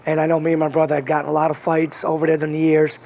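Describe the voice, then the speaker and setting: A man's voice: fairly high pitch, monotone, rapid-fire, creaky, a little mellow. A man from Connecticut with a slight Boston accent, telling his life story as an interview guest in an earnest, rambling way with many restarts. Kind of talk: casual conversational interview.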